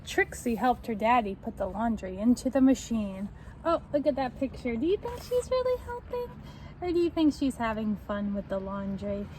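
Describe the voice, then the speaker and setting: A young woman reading a children's book aloud in a deliberate, expressive way, explaining and asking the listeners questions. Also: on the high side, low-quality recording. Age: young adult. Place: outdoors on a front step by a house door. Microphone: camera at a short distance. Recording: faint outdoor street noise.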